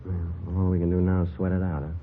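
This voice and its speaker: An actor playing a terse, stoic police detective in a 1950s radio drama, speaking in a clipped, matter-of-fact, deadpan way. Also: male voice, deep voice, fast, a little hiss or hum, lightly acted. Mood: dry, guarded. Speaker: police detective narrator and lead. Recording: tinny vintage radio recording with loud music.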